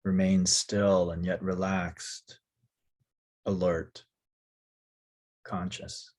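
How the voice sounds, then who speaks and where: A man leading a guided yoga nidra meditation as a teacher, speaking softly and calmly in short phrases with long pauses. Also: low voice.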